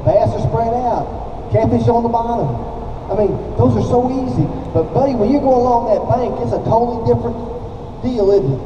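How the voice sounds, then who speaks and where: A man's voice: very high-pitched, a little fast. An older man from Oklahoma, a folksy, emphatic pro angler giving a live seminar and often checking in with his listeners. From Oklahoma, United States. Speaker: professional angler giving a demonstration. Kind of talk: live fishing seminar to an audience. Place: large indoor exhibition hall. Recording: echoey hall from far off, dull, noisy.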